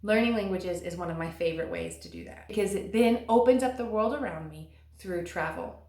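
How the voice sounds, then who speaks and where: A woman in her thirties giving earnest, encouraging advice to camera, slowing down to stress key words. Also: mid-range voice, a little mellow. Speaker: vlogger and stay-at-home mom. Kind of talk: scripted advice talk to camera. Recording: echoey room.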